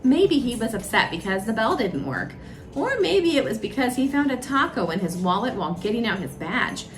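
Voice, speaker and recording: Animated woman reading a children's story. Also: fairly high pitch, normal speed. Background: music.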